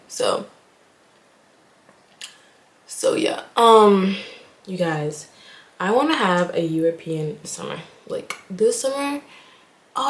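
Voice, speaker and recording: A young woman from Haiti, a nursing student, chatting casually while getting ready and rambling with lots of "like". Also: mid-range voice. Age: young adult. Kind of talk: casual get-ready-with-me chat. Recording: echoey room.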